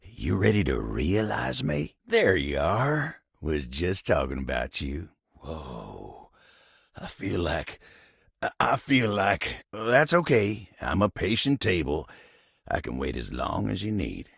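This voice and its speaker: Gravelly, drawling folksy Southern cowboy sweetheart. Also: male voice, deep voice, huge pitch swings, fairly bright tone, no echo, studio quality, exaggerated voice. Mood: tender, easygoing, then bashful. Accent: American Southern. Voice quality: gravelly, husky. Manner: slow, folksy drawl, bashful and earnest. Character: gentle, lovestruck living table with folksy cowboy charm. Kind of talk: video game character acting.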